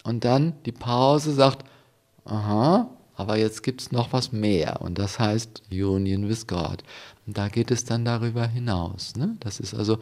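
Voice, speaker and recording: Man, lowish voice, informal spiritual reflection, explanatory, frequent tag questions seeking agreement. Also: unhurried, darkish tone. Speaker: interview guest, spiritual devotee.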